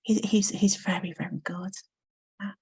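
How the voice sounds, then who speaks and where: A woman's voice: mid-range voice, fairly even intonation, moderate pace, slightly rough. A woman with a Coventry English accent, a novelist guest talking in a casual interview, with lots of ums and easy laughter. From Coventry, England. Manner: chatty, many ums, warm laughter.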